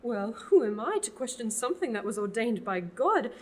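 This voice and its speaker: A teenage actor playing an exasperated, theatrical abbess eager to be rid of a pesky oblate. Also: female voice, on the high side, big pitch swings, normal speed. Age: teens. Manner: sighing and theatrical. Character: exasperated, fussy middle-aged abbess nun. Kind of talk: student radio play.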